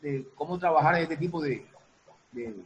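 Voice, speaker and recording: Male guest producer, slightly high voice, halting casual explanations, faint background noise. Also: dull audio. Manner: halting, repeats and reformulates. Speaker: guest, music producer and sound designer.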